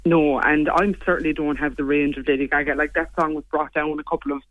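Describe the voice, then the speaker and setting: A young Irish woman with a low voice, chatting quickly as a singer guest in a casual interview. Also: fairly even intonation, no echo, narrow, tinny audio. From Ireland. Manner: quick, chatty, lots of "like" and "you know".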